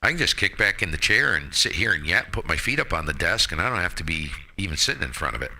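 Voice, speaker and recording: A man's voice: sits low, sing-song, quick pace, sharp tone, poor audio. A man chatting casually about his radio hobby in a blunt, opinionated way, with mild folksy exclamations, speaking into a headset mic. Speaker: ham radio operator hosting a live stream.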